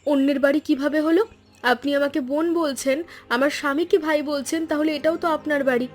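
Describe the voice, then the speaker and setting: A woman voicing a caring, sisterly wife in an animated story, earnest, coaxing and insistent. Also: high-pitched, fast, close to an everyday voice. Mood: insistent, pleading. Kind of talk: Bengali animated story dialogue.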